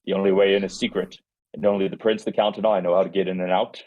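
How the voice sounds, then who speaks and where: A man playing a grim dungeon keeper with a husky voice, giving matter-of-fact warnings in a table read. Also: low voice, level tone, fast, a little mellow, dull audio, natural voice. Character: grim, menacing albino torturer's keeper of a dungeon. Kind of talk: live script table read.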